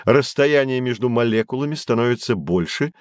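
An actor playing a knowledgeable, patient guide who teaches children in an educational audio drama, with a didactic, emphatic delivery. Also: male voice, mid-range voice, expressive, fairly quick, dry recording, clean studio sound, close to an everyday voice. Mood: patient, explanatory.

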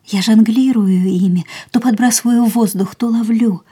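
An actress playing an ailing, proud and wistful young woman, reflecting in a measured, theatrical way in a radio drama. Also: fairly high pitch, steady tone, mellow, slightly rough. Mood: bittersweet, reflective. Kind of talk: radio play dialogue and inner monologue.

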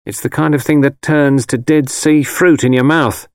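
Stern, disapproving older mother in a storybook, plain-spoken and raised in pitch, performed by a male narrator. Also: steady tone, normal speed, smooth, no room sound, studio quality, natural voice. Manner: blunt, disapproving, dialect turns of phrase. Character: tall grim grey-haired working-class mother, stern and knowing.

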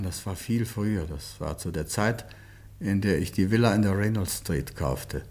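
A man acting an earnest Englishman caught in a troubled love affair in a German radio play, speaking in a measured, deliberate way. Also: fairly low pitch, warm tone, breathy edge, heavily acted.

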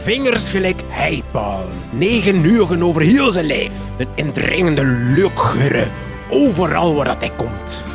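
An actor with a Flemish accent playing a grunting, gravelly old-salt sea captain in a radio drama. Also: male voice, on the high side, big pitch swings, measured pace, music in the background. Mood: ominous.

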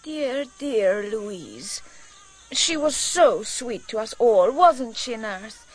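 A woman's voice: a little high, very animated, extremely slow, full character voice, poor audio. A woman playing a gushing, evasive, nervy wife in a radio drama, with an insistent manner.

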